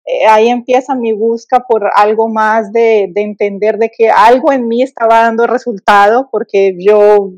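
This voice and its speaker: A woman's voice: on the high side, steady tone, moderate pace, hardly any pauses, darkish tone, no room sound, muffled recording. A middle-aged woman from Colombia with a Colombian accent, telling her story as a podcast guest, thoughtful with many restarts and fillers.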